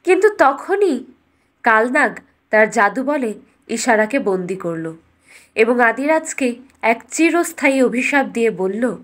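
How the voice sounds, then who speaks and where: High-pitched woman storyteller. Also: very animated, measured pace, long pauses. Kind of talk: scripted fairytale narration.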